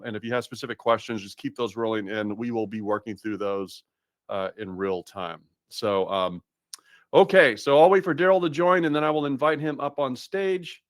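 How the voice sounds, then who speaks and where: A man's voice: mid-range voice, dry recording, studio quality. A man from Massachusetts hosting a live webinar, chatty and upbeat, with lots of fillers and restarts. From Massachusetts, USA. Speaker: webinar host.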